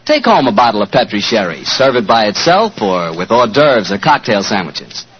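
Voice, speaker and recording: A man working as a radio announcer and sponsor's pitchman, speaking in an enthusiastic, emphatic, conversational 1940s advertising style. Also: sing-song, moderate pace. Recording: dull old 1940s radio recording.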